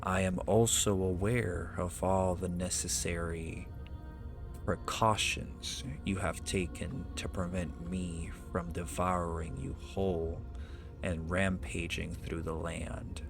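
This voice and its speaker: A man playing an ancient, proud male dragon in an ASMR roleplay, speaking in an imperious, deliberate way with archaic, formal phrasing. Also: low-pitched, little pitch movement, gravelly, full character voice. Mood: calm, knowing. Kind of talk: ASMR roleplay, slow theatrical monologue. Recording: music behind the voice. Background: music.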